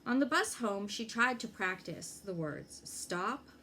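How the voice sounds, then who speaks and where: A woman reads a children's picture book aloud at a slow, careful pace. Her pitch moves in lively swings, and she turns to young listeners with little questions. Faint noise sits behind her voice. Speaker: fire inspector reading a children's book aloud. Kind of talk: expressive children's storybook read-aloud with asides.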